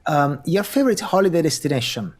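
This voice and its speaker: A man's voice: on the high side, sing-song, fast. A man hosting an interview podcast, asking questions and giving brief encouraging replies. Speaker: podcast host.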